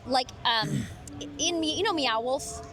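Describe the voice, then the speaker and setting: A middle-aged woman with a high voice, a voice actor chatting in an interview in a bubbly, rambling way. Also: lively intonation, slow, crowd noise. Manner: bubbly, rambling, laughs often. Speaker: voice actor guest. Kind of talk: casual interview chat.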